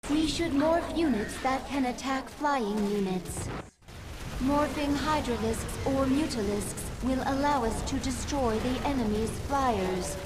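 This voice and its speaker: High-pitched female game adviser. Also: a little slow, background noise. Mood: businesslike. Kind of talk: scripted video game hint.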